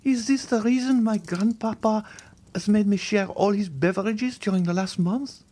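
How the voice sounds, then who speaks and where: A man's voice: high-pitched, moderate pace, warm tone, voice pushed up. A man voicing a frightened, sickly young noblewoman who pleads in breathless exclamations.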